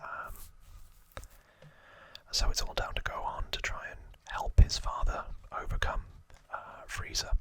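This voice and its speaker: A man whispering an informal ASMR recap into a close microphone, hesitant and rambling as he corrects himself. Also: unhurried. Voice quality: whispered, unvoiced. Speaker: ASMR video host.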